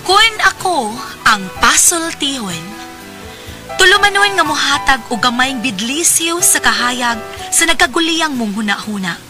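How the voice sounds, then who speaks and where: A woman announces a radio drama with a high, animated voice. She declaims scripted, poetic lines over clear background music. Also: measured pace.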